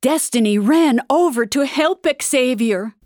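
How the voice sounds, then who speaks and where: A woman narrating a scripted audio drama like a storybook, with dramatic, deliberate delivery. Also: sits high, expressive, darkish tone. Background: background music.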